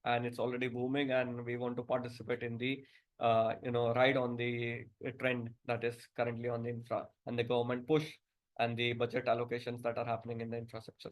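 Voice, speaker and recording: A man with an Indian accent giving a business presentation as a company director, halting and often repeating words. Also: medium pitch.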